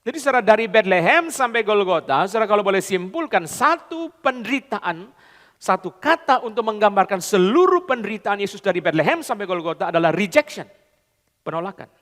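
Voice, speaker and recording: A man preaching a sermon, swinging between loud high emphasis and low quiet asides, often slipping into English and casual slang. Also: expressive, brisk, in a large hall. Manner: repeats "saudara" constantly, mixes in English phrases and colloquial Jakarta slang. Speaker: pastor.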